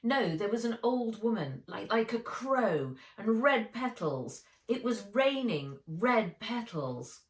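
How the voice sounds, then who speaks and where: A woman's voice: on the high side, big pitch swings, slow pace, few pauses, distant mic, pitched above the performer's own voice. A woman voicing a troubled but determined young girl heroine, earnest and questioning, in a storybook reading. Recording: echoey room.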